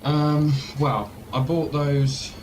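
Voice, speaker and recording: A man's voice: fairly high pitch, level tone, very slow, background noise. A man testifying as a witness in a role-play court, hesitant and full of ums and restarts.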